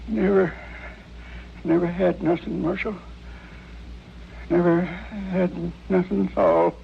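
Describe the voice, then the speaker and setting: Desperate poor hillbilly farmer-robber, high gravelly voice, rural american twang, old muffled radio drama. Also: male voice, steady tone, takes it slowly, exaggerated voice. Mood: weak, dying. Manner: folksy, blustering, stammering. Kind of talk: 1950s-60s radio western acting. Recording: muffled old radio recording, hum, noise.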